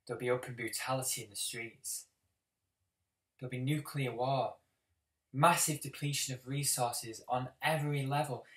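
A man performing a dramatic audition monologue as a bitter, apocalyptic schoolboy, speaking deliberately with heavy pauses. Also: mid-range voice, fairly even intonation, close to an everyday voice. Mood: grim foreboding. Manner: deliberate, heavy pauses, swelling from hushed to forceful. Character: bitter, brooding, apocalyptic schoolboy confronting a bully. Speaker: actor auditioning. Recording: roomy, distant microphone.

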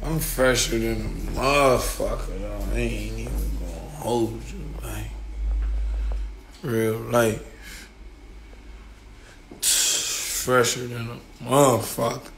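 A hoarse young man on a phone livestream, rapping over a beat and then chatting loosely with a slurred, laid-back delivery. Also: mid-range voice, slow pace, long pauses, fairly bright tone, slight background noise, low-quality recording. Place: home studio and house, indoors. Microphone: phone held at arm's length.

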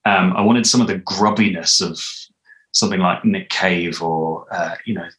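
A man in his thirties, talking thoughtfully and haltingly as an interview guest on a video call. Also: low voice, fairly even intonation, fairly bright tone. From United Kingdom. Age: 30s. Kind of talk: casual interview chat. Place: living room, indoors. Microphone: video call, computer mic at arm's length. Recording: echoey room.

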